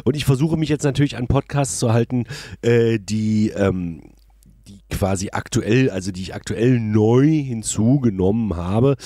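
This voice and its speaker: Husky-voiced German man chatting. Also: on the low side, normal speed, dark tone, dry recording, clean studio sound. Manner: chatty, many fillers and restarts. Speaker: podcast co-host. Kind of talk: casual chat.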